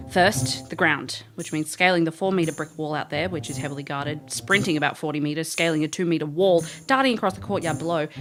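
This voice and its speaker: A woman's voice: deep voice, a bit bright. An actress playing a cocky, blunt female elf detective in an audio drama. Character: brash, wry female elf detective, ex-military. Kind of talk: audio drama dialogue and first-person narration.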